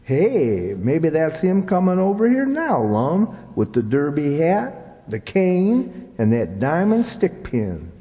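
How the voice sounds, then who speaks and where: Man acting a naive country sidekick, slow drawl, fairly high voice, dry wit, radio comedy, echoing hall. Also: huge pitch swings, mellow, exaggerated voice. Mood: musing. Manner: folksy, hesitant drawling delivery. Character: slow rustic sidekick, naive but dryly wisecracking. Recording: echoey hall with long reverb.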